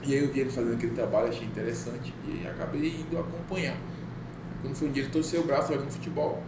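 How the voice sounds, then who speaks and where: A man's voice: medium pitch, racing, noisy background. Middle-aged man telling his story, echoey room. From Bahia, Brazil. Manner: relaxed storytelling, laughs often. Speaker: guest, tattoo artist. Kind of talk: casual podcast storytelling.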